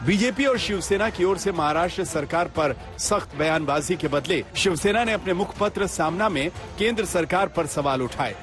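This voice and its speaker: A man's voice: high-pitched, lively intonation, normal speed, few pauses. A man reading a scripted TV news report as a voice-over. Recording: voice-over with music bed. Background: background music.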